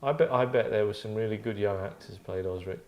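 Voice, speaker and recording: A man's voice: lowish voice, fast. A man talking casually and comically as he leads a group of actors, rambling and breaking into his own sentences with dry humour. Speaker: table read leader among actors.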